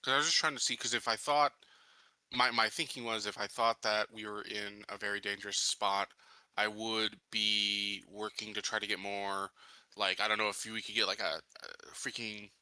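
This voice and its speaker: A man's voice: on the low side, level tone, moderate pace, pushed and bright, no room sound, poor audio. A man talking casually as a player in an online tabletop game, hesitantly working through his ideas aloud.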